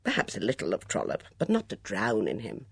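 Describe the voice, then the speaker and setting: A woman's voice: extremely low pitch, wildly swooping pitch, brisk, gravelly, slightly rough, no room sound, pitched below the performer's own voice, heavily acted. A woman voicing a glib, teasing young man in love, with flowery, mock-formal speech in an audiobook story. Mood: airy, pompous.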